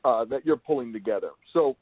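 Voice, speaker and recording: A man hosting an interview, asking long, wordy, bookish questions in a halting way. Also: medium pitch, expressive, a crowd in the background. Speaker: interviewer and show host. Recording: thin phone-like call audio.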